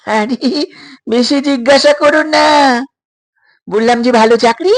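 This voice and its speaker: A man voicing a sly, menacing Chinese boss who orders people about in a drawn-out, shrill, commanding way for an audio story. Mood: sly, threatening.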